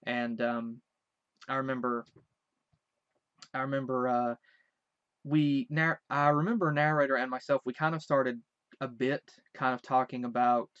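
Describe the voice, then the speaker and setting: Man, light Southern accent, mid pitch, rambling and hesitant recollection, dry dull lo-fi recording. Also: fairly even intonation, unhurried, long pauses, fairly bright tone, clear, resonant tone, dry recording. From Virginia. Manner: rambling, hesitant, many restarts. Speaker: vlogger. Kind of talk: casual recollection.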